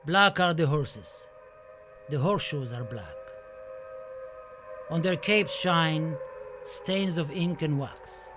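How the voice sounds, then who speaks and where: A man's voice: fairly high pitch, animated, slow pace, long pauses. A man playing a passionate, proud Spanish poet in a theatrical radio play, declaiming his words and verse dramatically over music. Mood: solemn, mournful. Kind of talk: theatrical radio-play monologue and poetry recital. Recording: music under the voice.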